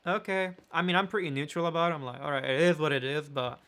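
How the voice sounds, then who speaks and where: A young man with a London accent chatting casually with his partner in a relaxed, wordy way, sometimes searching for words. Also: fairly high pitch, fast talker. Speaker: photographer and vlogger, co-host.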